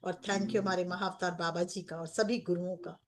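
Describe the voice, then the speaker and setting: A woman with an Indian accent leading a guided meditation as a coach, speaking in gentle, repetitive phrases with pauses. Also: on the low side, steady tone, slight background noise. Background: background music.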